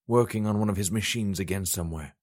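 A man voicing a male ruler who speaks in a measured, reassuring way. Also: low-pitched, darkish tone, lightly acted. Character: steady, caring male god-king.

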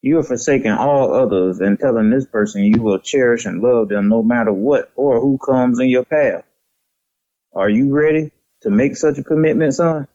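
Man playing caring father, mellow, dull recording. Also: mid-range voice, normal speed, no echo, close to an everyday voice. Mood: thoughtful. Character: caring, measured father advising his grown son. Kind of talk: audio drama acting.

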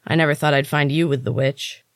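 A woman's voice: low-pitched, fairly even intonation, fairly quick, no room sound, studio quality. Woman reading as a smug, menacing male captor. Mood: smug, exasperated. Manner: soft, taunting, condescending. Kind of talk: audiobook character dialogue.

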